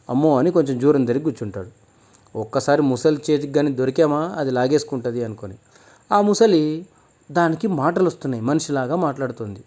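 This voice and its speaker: A man's voice: fairly high pitch, warm tone. A man telling a children's moral story informally, explaining as he goes and mixing in English words. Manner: conversational, explanatory, mixes in many English words.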